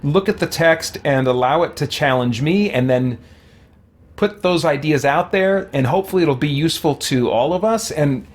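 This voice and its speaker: A man's voice: on the high side, moderate pace. A man with a tired, worn voice, talking casually on a livestream in an earnest, reflective way and often correcting himself as he thinks aloud. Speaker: host, video game content creator. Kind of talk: casual livestream talk, answering viewer questions.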